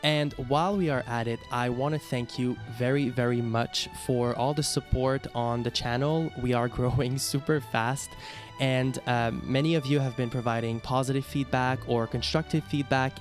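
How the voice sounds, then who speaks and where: A man's voice: mid-range voice, steady tone, normal speed, faint music behind. A man from Quebec whose first language is French, speaking English with a Québécois French accent as a YouTube host, talking casually and hesitantly with many fillers. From Quebec, Canada. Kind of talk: casual ranking commentary.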